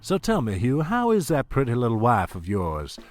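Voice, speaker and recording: A man's voice: mid-range voice, huge pitch swings, normal speed. A man playing a smug, scheming oil baron in an audio drama, silky, condescending and mock-courteous. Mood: silky blackmail.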